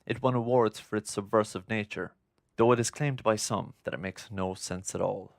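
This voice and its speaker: A man narrating a scripted documentary in a measured, essay-like delivery. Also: fairly low pitch, creaky, no room sound, clean studio sound.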